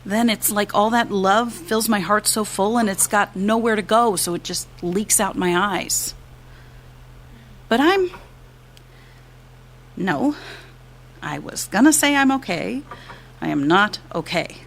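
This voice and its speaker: An actress playing a grieving widow in a fiction podcast, candid and tender, in a halting confessional manner. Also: female voice, medium pitch, fairly bright tone, slight background noise. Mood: tender grief. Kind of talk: naturalistic fiction podcast acting.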